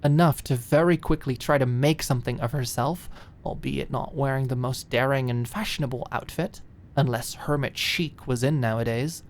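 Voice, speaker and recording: A woman's voice: extremely low pitch, moderate pace, a little mellow, a little hiss or hum. A woman reading scripted, dramatic story narration as an audiobook narrator.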